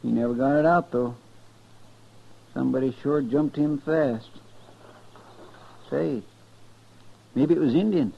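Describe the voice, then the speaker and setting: A man's voice: medium pitch, slow, creaky, pauses often. A man playing a folksy, deferential cowboy sidekick in a 1950s radio western, with a drawling American accent. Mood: somber, puzzled. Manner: drawling, folksy, plain-spoken. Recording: dull, noisy 1950s radio recording.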